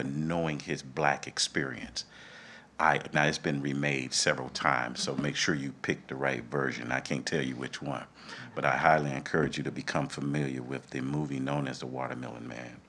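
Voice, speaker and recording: A middle-aged man emceeing a live event, speaking in measured, deliberate phrases. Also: a bass voice, a bit bright. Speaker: event host. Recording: live event with faint background noise.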